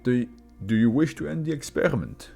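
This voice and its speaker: A man playing a formal research doctor in a story reading, clinical, polite and measured. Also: medium pitch, lively intonation, creaky, dark tone, slightly rough, soft background music, pitched above the performer's own voice. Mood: clinical, composed. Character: formal, detached male research doctor running experiments.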